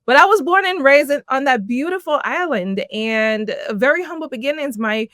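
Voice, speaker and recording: A middle-aged woman from Jamaica, a podcast guest telling her life story in an animated way, laughing often. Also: high voice, normal speed, dry recording, clean studio sound. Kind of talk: personal storytelling in an interview.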